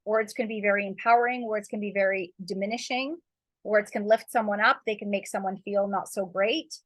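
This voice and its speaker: A woman's voice: mid-range voice, fairly even intonation, fairly quick, a bit bright, no echo. A woman author giving casual, encouraging writing advice in a loose, rambling way, full of fillers and spiritual turns of phrase. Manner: loose, rambling, heavy with "like" and "you know".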